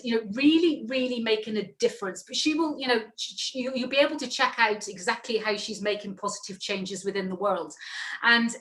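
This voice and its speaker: A woman's voice: a little high, a bit bright. A woman hosting an online talk event, speaking off the cuff in an effusive, encouraging way. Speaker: international speaker. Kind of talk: unscripted live introduction of a speaker.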